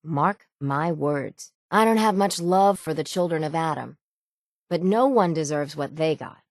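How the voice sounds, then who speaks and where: Game casting: stoic salty sailor woman, low register, creaky edge, folksy and sardonic. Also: expressive, unhurried, no echo, clean studio sound. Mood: gruff, wry. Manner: folksy, salty, plainspoken rural phrasing. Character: gruff, world-weary island sailor woman, stoic and sardonic. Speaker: video game voice actor. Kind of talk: video game character dialogue.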